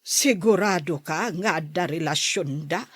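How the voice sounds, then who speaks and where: A woman playing an older Ilocano mother in a radio drama, calm and doubting. She speaks slowly in a lowish, husky voice with an Ilocano accent. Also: no room sound, studio quality. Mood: doubting, soothing.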